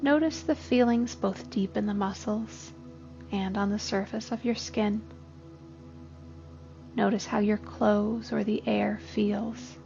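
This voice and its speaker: Woman doing a gentle guided body scan, talks real slow, music playing behind her. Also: mid-range voice, fairly even intonation, vocal fry, dull audio. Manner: gentle, unhurried instruction. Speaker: therapist guiding a meditation. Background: soft music.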